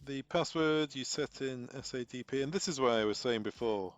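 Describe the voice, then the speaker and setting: A man's voice: mid-range voice, normal speed, dry recording, dull audio, soft background music. A man presenting an unscripted technical tutorial, informally talking through each step aloud as he goes. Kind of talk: unscripted step-by-step software walkthrough.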